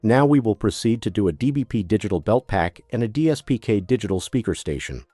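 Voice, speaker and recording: A man's voice: lowish voice, animated, fast, mellow, dry recording, faint music behind. A man narrating a scripted product tutorial as a demonstrator, giving methodical step-by-step instructions.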